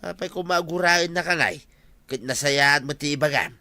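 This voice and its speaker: A man's voice: on the high side, moderate pace, pushed and bright. An actor playing a mocking, mischievous village man with a Filipino Ilocano accent, drawling and amused, in an audio drama. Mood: egging on.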